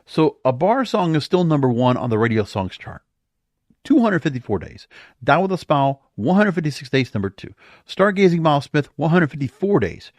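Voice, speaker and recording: A man's voice: on the high side, very animated, a little fast, no room sound. A man hosting a podcast, giving casual solo commentary in an emphatic way, full of rhetorical questions. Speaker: podcast host.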